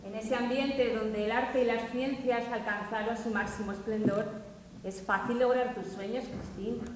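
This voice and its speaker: A woman's voice: fairly high pitch, steady tone, normal speed, a little hiss or hum, lightly acted. A woman playing a young noblewoman on a school stage, speaking in a wistful, curious way. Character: young noblewoman questioning a friend. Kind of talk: amateur stage acting. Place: large school hall, stage. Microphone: far camera. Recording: very echoey hall from far off.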